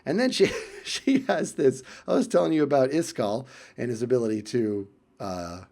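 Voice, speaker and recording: An American man tells casual anecdotes as a video creator, in an animated way, chuckling and acting out exchanges with friends. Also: mid-range voice, normal speed. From United States. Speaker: video creator telling stories about friends.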